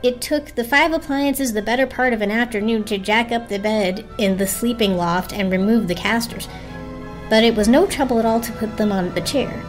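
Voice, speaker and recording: A woman's voice: on the high side, poor audio. A woman narrating a children's story as a dramatic storybook reading. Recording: music behind the voice. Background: music.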